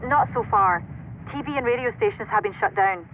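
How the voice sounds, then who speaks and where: Female radio intel operator for a video game: brisk, matter-of-fact, thin static-laden transmission. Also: mid-range voice, expressive. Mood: focused, businesslike. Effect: radio filter. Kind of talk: video game cutscene acting. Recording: tinny, noisy military radio link.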